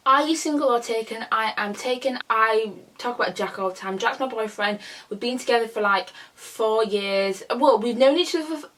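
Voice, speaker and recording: A woman's voice: fairly high pitch, a bit bright, rough recording. A young woman from a Congolese family, chatting casually through a Q&A vlog, rambling and restarting her sentences. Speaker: YouTuber.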